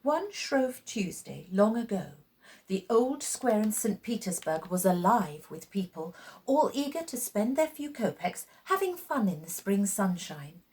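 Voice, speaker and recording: A woman reading a children's tale as a storyteller, in dramatic, expressive narration with deliberate phrasing and pauses. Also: medium pitch.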